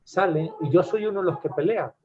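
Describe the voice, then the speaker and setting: A man with a Venezuelan accent speaks up in an online Q&A, halting often with fillers as he explains. His voice sits a little high. The audio is thin and tinny, as over a phone line. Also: dark tone. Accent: Venezuelan Spanish. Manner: hesitant, many fillers and restarts. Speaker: webinar attendee asking a question. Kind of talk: casual comment in a Q&A.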